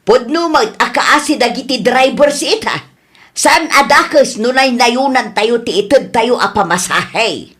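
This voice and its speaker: A woman's voice: on the high side, expressive, measured pace, a bit bright. A woman with an Ilocano accent playing a stubborn, quarrelsome older woman in a comedy radio drama, scolding and arguing. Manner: scolding, argumentative.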